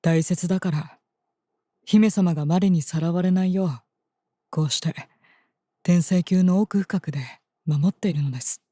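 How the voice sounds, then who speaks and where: A woman playing a gentle, reassuring attendant to a young princess in an audio drama, speaking politely and formally in a soothing way. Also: fairly low pitch, moderate pace, pauses often, dry recording, studio quality, lightly acted. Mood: gently explaining. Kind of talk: Japanese audio drama acting.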